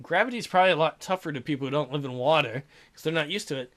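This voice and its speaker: A man's voice: on the high side, lively intonation, racing, a bit bright. A young man giving casual, joking let's-play commentary, swearing and hesitating with fillers.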